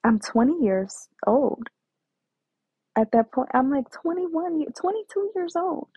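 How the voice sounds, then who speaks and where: A woman's voice: sits high, very animated, measured pace, mellow, no room sound. A woman telling her own life story in a hesitant way, with many fillers and restarts. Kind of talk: personal storytelling. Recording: tinny phone-like dry sound.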